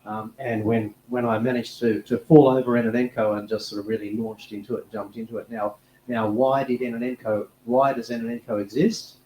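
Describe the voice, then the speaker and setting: A man speaking as a panelist in a relaxed way, often restarting and repeating his words. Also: mid-range voice, a little fast. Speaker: panelist, technology executive. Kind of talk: panel discussion. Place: conference panel room. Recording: echoey room, faint background noise.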